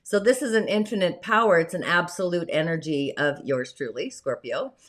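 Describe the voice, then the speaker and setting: A woman with a raspy voice today hosting a spiritual livestream in a dreamy, meandering way, trailing off softly at the ends of phrases. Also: on the low side, normal speed. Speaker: host.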